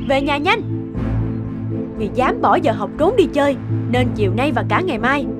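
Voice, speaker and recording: A woman's voice: sits high, animated, normal speed, music in the background. A woman voicing a strict, anxious young mother in a cartoon, with an urgent manner. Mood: stern. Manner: scolding, urgent. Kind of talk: cartoon voice acting.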